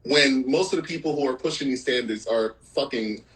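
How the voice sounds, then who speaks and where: A man's voice: mid-range voice, moderate pace, a bit bright, low-quality recording. A male podcast host in casual, frank chat, laughing often as he talks.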